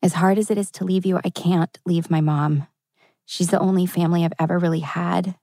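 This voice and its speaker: A woman's voice: fairly low pitch, fairly even intonation, brisk, mellow, dry recording, clean studio sound, lightly acted. An actress playing a tender, torn teenage girl in a table read of a TV drama. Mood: sad, earnest.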